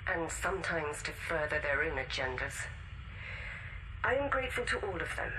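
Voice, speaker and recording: A woman playing a grave, wronged explorer in a sci-fi game, solemnly and deliberately delivering an accusatory dramatic audio log. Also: on the low side, noisy background, natural voice. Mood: regretful.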